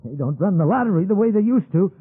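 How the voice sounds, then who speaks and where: A cranky old American villager in his seventies, in a dull radio recording. His voice is high and husky and swings widely as he grumbles in a folksy, stubborn way. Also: animated, fast talker. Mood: grumbling. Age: 70s. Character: cranky, stubborn 77-year-old village grandsire, nostalgic for old ways. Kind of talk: radio drama acting.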